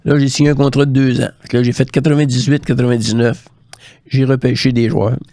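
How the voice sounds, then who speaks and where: A man's voice: mid-range voice, flat delivery, brisk, mellow, no echo. Older Quebec man telling stories, dry close sound. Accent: Quebec French. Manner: colloquial, re-enacts conversations with quoted dialogue. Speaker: guest, former hockey scout. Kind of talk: casual anecdotal storytelling in an interview.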